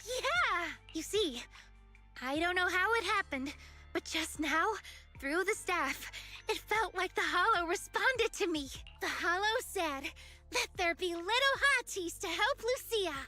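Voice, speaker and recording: A woman's voice: very high voice, expressive, measured pace, pushed and bright, full character voice. A woman playing an earnest, eager young researcher with big dreams in a video game. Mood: excited. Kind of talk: English video game voice acting. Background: game music.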